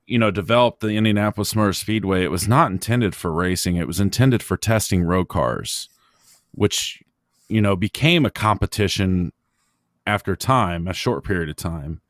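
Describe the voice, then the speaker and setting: A man with a fairly low voice hosts a casual motorsport podcast. He thinks aloud in a halting, opinionated way. The sound is very dry, with no room around him. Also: no room sound. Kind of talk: casual racing discussion, opinionated.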